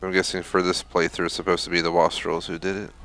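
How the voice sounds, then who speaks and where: A man's voice: sits low, little pitch movement, quick pace, fairly bright tone, soft background music. A man giving casual, off-the-cuff commentary while playing through a video game. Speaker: gaming video host.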